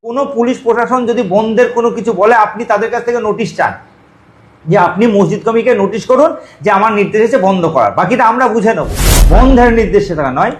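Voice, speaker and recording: A man's voice: high-pitched, fast. A man giving spoken advice in an echoey recording. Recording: echoey room clip.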